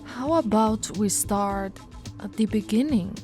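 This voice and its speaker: A woman voicing a flirty, no-nonsense female police officer in an ASMR roleplay, switching between firm orders and soft, teasing coaxing. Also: mid-range voice, takes it slowly, soft background music, natural voice. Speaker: voice actress.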